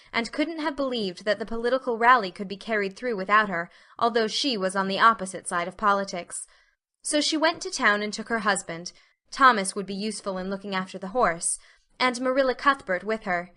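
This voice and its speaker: Bright, clear-cut woman narrating a story. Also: medium pitch, a little fast. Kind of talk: scripted audiobook story narration.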